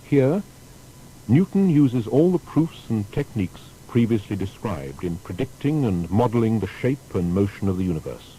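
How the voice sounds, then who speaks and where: Very mellow, expressive male narrator. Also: low-quality recording. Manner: formal, storytelling delivery with deliberate emphasis. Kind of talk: scripted documentary narration. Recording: faint background noise with rumble.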